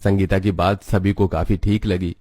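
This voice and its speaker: A man with a low voice narrates a children's cartoon tale. He reads from a script in a storybook style, as the narrator of the story. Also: little pitch movement, normal speed, dark tone.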